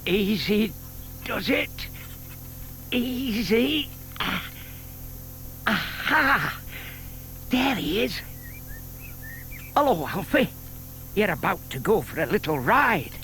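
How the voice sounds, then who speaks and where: An actor playing a gentle, fond older man in an audio play, murmuring to himself and to animals. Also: high-pitched, very animated, takes it slowly, long pauses, slight background noise, heavily acted. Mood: pleased, coaxing. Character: gentle, fond old neighbour man scheming kindly with tortoises. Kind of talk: audio theatre dramatisation.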